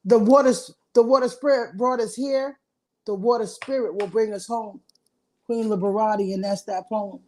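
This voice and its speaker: A woman performing a spoken word poem, deliberate and building to impassioned cries. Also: on the high side, fairly even intonation, warm tone, a little hiss or hum, rough recording.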